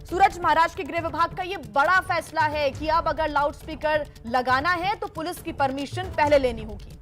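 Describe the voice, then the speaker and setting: A woman's voice: high voice, moderate pace, a bit bright, soft background music. A woman anchoring live TV news in an emphatic manner, repeating key points as she presents.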